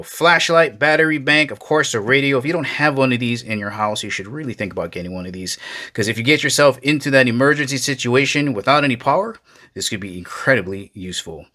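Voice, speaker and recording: A man with a bright, forward voice presents a product review. His pitch moves up and down a lot as he goes. He keeps the wording casual and folksy, speaking straight to the viewer. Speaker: product reviewer, video host. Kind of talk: casual hands-on gadget review narration.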